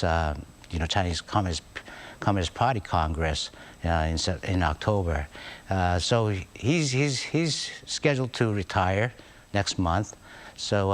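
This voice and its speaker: A man with a very low, deep voice talks as a guest analyst on a news programme. He speaks haltingly, with many fillers and restarts. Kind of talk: live news interview.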